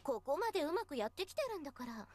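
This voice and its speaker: A woman's voice: sits high, big pitch swings, very fast, a little mellow, no room sound. A woman playing a scornful, taunting teenage schoolgirl in a Japanese visual novel game. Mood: scornful, mocking.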